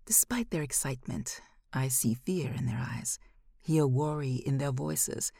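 A woman reading literary fiction as an audiobook narrator, measured, with phrases often falling to a hush. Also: a bass voice, gravelly, breathy edge, dry recording, clean studio sound.

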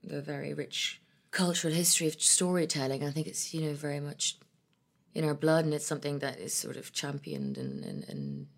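Low creaky-voiced actress. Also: female voice, lively intonation, fairly quick. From Ethiopia and Ireland. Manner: thoughtful, hesitant, with frequent restarts. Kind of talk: conversational interview.

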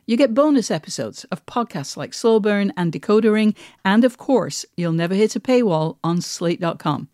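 A woman's voice: mid-range voice, animated, normal speed, hardly any pauses, a little mellow, no room sound, studio quality. A woman hosting a podcast in a conversational presenting style. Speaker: podcast host.

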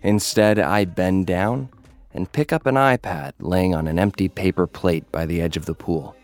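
A man's voice: low voice, moderate pace, soft background music. A man narrating an audio drama in the first person as a cocky, jaded young influencer who fights, with a brooding, menacing delivery. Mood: brooding, cynical. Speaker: narrator and lead character of an audio fiction.

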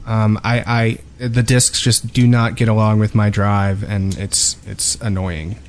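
A man's voice: on the low side, little pitch movement, unhurried, slight background noise. A man chatting casually as a gaming podcast co-host, with a playful, mock-serious way of talking.

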